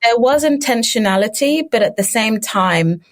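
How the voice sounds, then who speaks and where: A woman's voice: on the high side, lively intonation, moderate pace. A woman in her thirties, Ugandan-born and raised in the UK, answering interview questions at length in a fluent, thoughtful way. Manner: fluent, thoughtful, with some fillers. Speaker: guest, children's book publisher.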